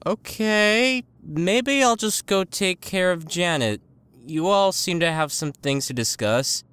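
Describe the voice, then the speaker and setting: A performer voicing a friendly, bewildered high school girl in a cartoon parody dub, earnest and questioning. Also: lively intonation, slow pace, a bit bright, clear, resonant tone, no echo. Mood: confused.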